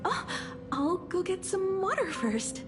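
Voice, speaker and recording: A woman playing a frail, earnest young woman inventor in anime game style, shyly sweet, with a hesitant, soft manner. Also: extremely high pitch, big pitch swings, slow, music in the background, exaggerated voice. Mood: wistful, cheerful.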